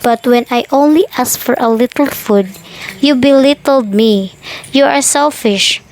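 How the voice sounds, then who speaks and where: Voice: high voice, a little slow. A woman voicing an old beggar from a storybook folktale. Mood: stern, reproachful. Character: hungry old beggar, pleading, then sternly scolding.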